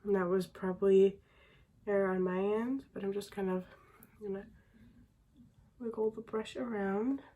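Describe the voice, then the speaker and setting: A young woman chatting casually through a makeup tutorial, murmuring and trailing off as she works, with a slightly raspy voice from getting over a sickness. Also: medium pitch, fairly even intonation, measured pace, long pauses, dark tone. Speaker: beauty vlogger.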